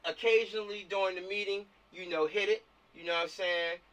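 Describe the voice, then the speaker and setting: Higher-pitched American man on a distant call mic. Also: steady tone, a little fast, fairly bright tone. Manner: directive, repeats himself, often checks in. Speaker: film director running a meeting. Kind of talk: casual planning chat on a video call. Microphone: video call.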